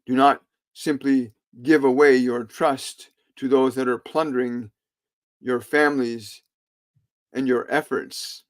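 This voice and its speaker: A man with a mid-pitched voice gives a solemn spiritual monologue. He speaks very deliberately and slowly, in short phrases broken by frequent pauses. His phrasing is formal and archaic, in the manner of an orator delivering a sermon. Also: steady tone. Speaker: spiritual channeler delivering a message.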